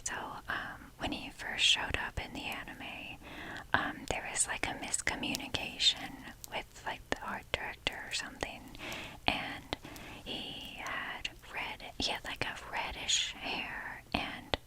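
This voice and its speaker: A woman whispering in a breathy voice as she rambles casually and wryly in an informal, fannish ASMR style. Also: a little slow, rough recording. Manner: informal, fannish, wry, mildly sweary. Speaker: ASMR video creator showing a toy collection.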